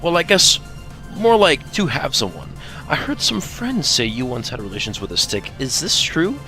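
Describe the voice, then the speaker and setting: A man reading questions as co-host of a fan Q&A in a playful, teasing, animated manner. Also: mid-range voice, moderate pace. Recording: faint music behind the voice.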